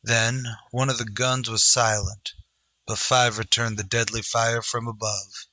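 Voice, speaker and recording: A man's voice: medium pitch, level tone, measured pace, sharp tone, no room sound. A man narrating an adventure story in a dramatic old-time radio style.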